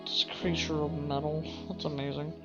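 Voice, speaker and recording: A woman's voice: low voice, level tone, moderate pace, muffled recording. A woman streaming a game, reading a visual novel aloud with informal, rambling asides. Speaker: gaming streamer. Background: game music.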